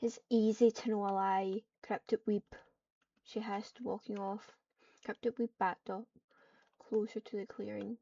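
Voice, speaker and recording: A woman narrating an online roleplay game in halting, storybook-style phrases, switching between description and character dialogue. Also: mid-range voice, flat delivery, vocal fry, dark tone, slight background noise. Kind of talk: live roleplay narration mixed with acted dialogue. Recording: muffled lo-fi mic, distant.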